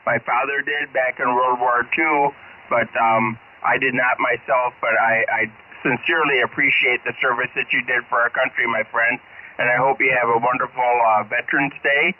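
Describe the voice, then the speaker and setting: An older American man comes through a thin, band-limited ham radio signal with faint noise. His voice is mid-pitched, and he chats in a halting, courteous, folksy way as a fellow operator. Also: fairly even intonation. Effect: ham radio transmission. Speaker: amateur radio operator calling in. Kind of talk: casual ham radio chat. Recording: narrow noisy shortwave radio signal.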